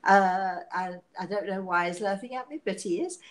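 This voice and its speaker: Host, sixties woman, raspy sick voice, warm rambling chatter, home tablet mic. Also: medium pitch, normal speed. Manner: chatty, laughing, self-mocking. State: hoarse from illness, coughing. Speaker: psychic medium hosting a live show. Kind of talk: casual live-stream chat. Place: living room at home. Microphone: device camera at arm's length.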